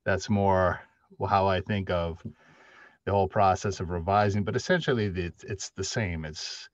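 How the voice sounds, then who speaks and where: A middle-aged man explaining things as a panelist on a video call, measured and halting as he searches for words. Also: deep voice, no echo. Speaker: panelist, audio drama writer. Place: indoor room, video call. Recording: dull, lo-fi video-call audio.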